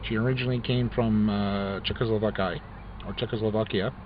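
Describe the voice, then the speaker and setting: A man's voice: on the low side, fairly even intonation, brisk. Brief: middle-aged man, casual diary vlog, rambling delivery, muffled lo-fi audio with light room hum. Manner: rambling, with frequent restarts and fillers. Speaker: vlogger. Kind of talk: casual talk to camera. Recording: dull lo-fi recording with faint ambience.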